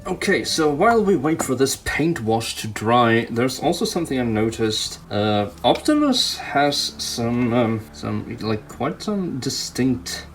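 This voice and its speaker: A man's voice: medium pitch, sing-song, measured pace, hardly any pauses. A man giving casual hands-on hobby commentary, thinking aloud in a hesitant way as he works. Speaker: toy customizer hosting a hobby video. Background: background music.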